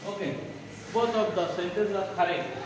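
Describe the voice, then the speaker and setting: A man, teaching a grammar lesson slowly and repeating phrases as he writes on the board. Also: sits high. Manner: slow, repeats phrases while writing on a board. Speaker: school English teacher. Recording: echoey room, distant mic, background noise.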